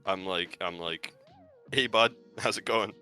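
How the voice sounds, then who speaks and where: Low, bright-voiced young man. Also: unhurried, smooth, faint music behind. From Canada. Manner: rambling storyteller, full of "like" and "um". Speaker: podcast guest. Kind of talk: casual chat.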